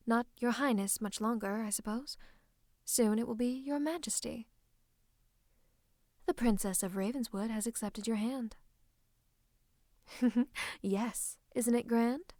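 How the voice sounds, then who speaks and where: A woman playing a scheming royal advisor in a fantasy roleplay, speaking close to the listener in a coaxing, teasing, falsely comforting way with breathy asides. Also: fairly high pitch, a little mellow, dry recording. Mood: gleeful, teasing. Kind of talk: roleplay ASMR monologue to the listener. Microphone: close microphone.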